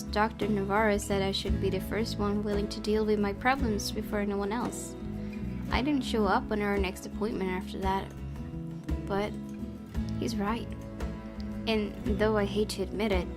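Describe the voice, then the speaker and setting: A woman hosting a game playthrough, reading story narration expressively and adding casual commentary. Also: medium pitch. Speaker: gaming video host. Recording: game music behind.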